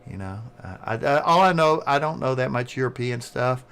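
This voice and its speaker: A man's voice: medium pitch, animated, a bit bright, clean tone. Rambling man with a Southern American accent. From southern United States. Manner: fast-talking, rambling. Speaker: live streamer. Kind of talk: casual chat.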